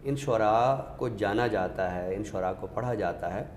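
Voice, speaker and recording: A man with a Pakistani accent, giving a literary talk with recited verse in a measured, explanatory way with pauses. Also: on the low side, a little mellow. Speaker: host of an Urdu literature show. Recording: echoey reverberant room, faint noise.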